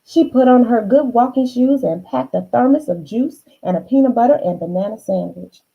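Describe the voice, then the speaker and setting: A woman reading a children's storybook aloud, unhurried, with dramatic emphasis and chatty asides to the listener. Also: on the high side, creaky, husky, highs cut off.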